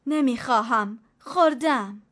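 A curt, impatient young master fires off demanding questions, as a woman reading a story puts him on. The voice sits high and tense. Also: fairly bright tone, lightly acted. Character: young man, master of the house, startled and impatient.

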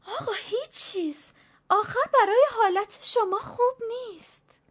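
A woman's voice: very high voice, voice pushed up. A woman voicing an old, stooped female servant who is fearful and wily, pleading with her master in a raised voice. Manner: pleading, superstitious chatter.

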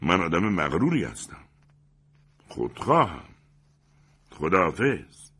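A man voicing a proud, indignant gentleman in a dramatic story reading, pompous and scornful in his declamatory speech. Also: low-pitched, very animated, breathy edge, heavily acted. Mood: haughty.

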